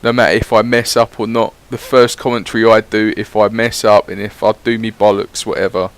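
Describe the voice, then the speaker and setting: A man's voice: lowish voice, little pitch movement, brisk. A man giving casual, rambling voice-over commentary on his gameplay, swearing freely as he chats. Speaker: gaming YouTuber.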